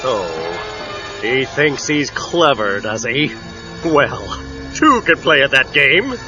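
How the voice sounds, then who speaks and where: An actor playing a manic, sneering gangster supervillain in an audio drama, taunting theatrically with mock politeness. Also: male voice, medium pitch, very animated, takes it slowly. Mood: sneering spite. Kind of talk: radio-drama villain acting. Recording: dull cassette audio with dramatic music.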